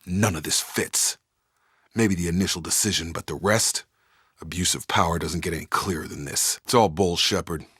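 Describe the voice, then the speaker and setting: Sci-fi game squadmate: a steady, direct soldier, young, deep-pitched, recorded dry. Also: male voice, moderate pace, husky, dry recording. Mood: bitter, resolute. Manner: direct, clipped military phrasing. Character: steady, principled male soldier in a sci-fi crew. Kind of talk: video game voice acting.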